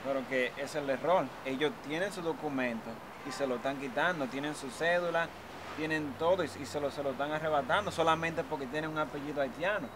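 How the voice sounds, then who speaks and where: A man's voice: on the high side, fast talker, natural voice. A young man acting as a son who urgently and insistently pleads with his mother on city front steps. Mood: worried. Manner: urgent, insistent, repeats words when upset. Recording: outdoor street noise. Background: street traffic.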